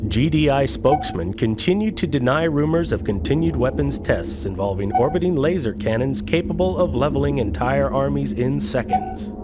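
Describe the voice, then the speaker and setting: A man playing a brisk, formal newsreader in a video game newscast. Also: medium pitch, lively intonation, lightly acted. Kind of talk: scripted newscast. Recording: very loud music behind.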